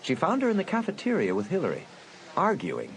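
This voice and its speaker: A man narrating a suspense audiobook in a dramatic, deliberate storytelling manner. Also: a little high, wildly swooping pitch, gravelly, noisy background.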